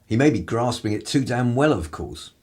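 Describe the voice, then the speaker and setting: An actor playing a cynical, irreverent 1930s English broadcaster in a radio play, with a husky voice and sardonic, theatrical turns of phrase. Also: male voice, mid-range voice, lively intonation, normal speed, darkish tone. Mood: weary, sardonic.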